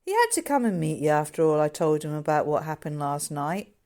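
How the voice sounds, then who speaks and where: A woman's voice: low-pitched, big pitch swings, fairly quick, darkish tone. Storybook lad, read by a woman: eager, loyal, informal, earnest. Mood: defensive. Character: excitable working-class boy, eager and loyal. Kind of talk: character dialogue in a novel reading.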